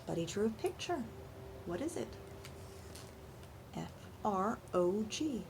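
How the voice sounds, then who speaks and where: A woman reading a picture book aloud to young children in an animated storytime style, pausing to ask questions and explain things simply. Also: mid-range voice, gravelly, noisy background. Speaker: children's librarian and storytime reader.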